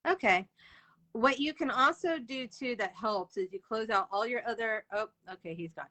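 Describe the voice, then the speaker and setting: A woman's voice: a little high, normal speed, bright, forceful tone. A woman hosting an author interview livestream, chatting casually and informally with viewers as she goes.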